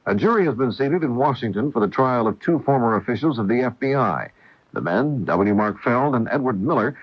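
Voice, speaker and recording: An American man reading scripted news as a radio anchor in a 1980 broadcast style. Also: fairly low pitch. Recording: dull, dry vintage 1980 radio broadcast.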